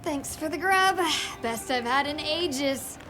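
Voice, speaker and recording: A woman's voice: high voice, animated, slow pace. An actress playing a breezy, cheeky young female companion in a video game, with music behind the dialogue. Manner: casual, breezy. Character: perky young woman companion, casual, cheeky, upbeat. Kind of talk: video game cutscene acting.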